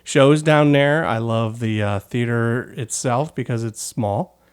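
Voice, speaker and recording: A man's voice: medium pitch, a little slow, clear, resonant tone. A man hosting a podcast in a casual, joking, self-deprecating way, rambling a little as he chats. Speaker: podcast host. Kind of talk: casual chatty interview.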